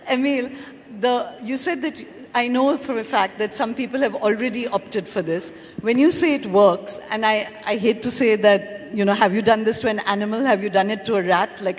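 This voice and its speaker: A woman moderating a conference panel, asking probing questions in an informal way, thinking aloud as she goes. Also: mid-range voice. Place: large conference hall. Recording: reverberant hall with faint noise.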